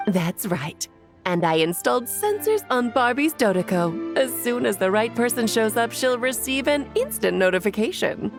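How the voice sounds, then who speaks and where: Game witch: bubbly party lover, high and animated, dramatic and teasing, music underneath. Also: moderate pace. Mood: upbeat. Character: playful, party-loving witch, breezy and theatrical. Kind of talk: video game voice acting. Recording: music behind the voice.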